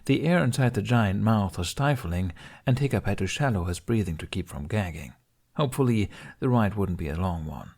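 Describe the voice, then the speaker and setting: A man with a low-pitched, husky voice narrates an audiobook. His delivery is scripted, dramatic fantasy storytelling. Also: animated, moderate pace, a little mellow.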